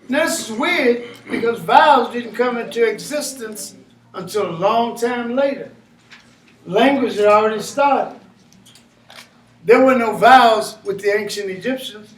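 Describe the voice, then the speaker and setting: A man giving an informal lecture to a small live audience, animated and often questioning the listeners. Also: very high voice. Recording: echoey room, mic far off.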